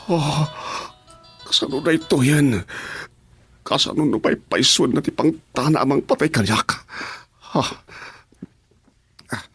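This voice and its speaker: An actor playing Gaspar, an anxious henchman in a radio drama, who keeps firing off pleading questions. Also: on the high side. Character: Gaspar, panicked male henchman, adult.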